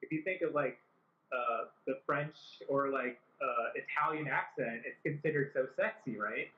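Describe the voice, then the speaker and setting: A man's voice: mid-range voice, normal speed. A man talking as a guest film director in an interview, earnest and full of "like" fillers. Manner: earnest, many "like" fillers and restarts. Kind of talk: interview conversation. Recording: echoey, dull-sounding recording.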